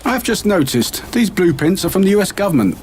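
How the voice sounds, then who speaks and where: A man's voice: sits high, big pitch swings, a little fast, darkish tone. A man playing a mild, helpful expert in an amateur radio play. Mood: helpful, tentative. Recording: noticeable background noise.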